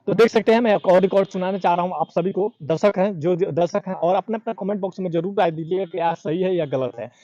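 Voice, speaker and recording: A man presenting news informally and unscripted, halting and repeating himself, in a dull lo-fi recording. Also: high-pitched, dark tone.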